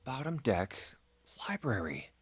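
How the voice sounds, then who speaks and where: An actor playing a curious young man in a video game, eager and thinking out loud. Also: medium pitch, big pitch swings, takes it slowly, background noise. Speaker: voiced game protagonist.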